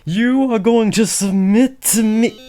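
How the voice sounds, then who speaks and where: A man's voice: high-pitched, slow, dark tone, soft background music. A young man acting an awkward, earnest teenage boy who is trying to be a supervillain, hesitant and often correcting himself, in a comedic audio roleplay. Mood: mock commanding.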